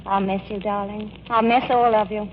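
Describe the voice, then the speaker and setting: An actress playing a weary, affectionate society bride in a 1940s radio comedy, languid and wry. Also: female voice, mid-range voice, steady tone, moderate pace, lightly acted. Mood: weary, fond. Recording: muffled, hissy old radio broadcast.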